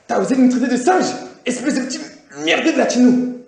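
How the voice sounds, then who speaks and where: An actor playing a hot-tempered Congolese man with a Congolese French accent, shouting insults in a film interrogation scene. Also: extremely high pitch, expressive, a little fast, vocal fry, exaggerated voice. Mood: furious. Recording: echoey film room with score music.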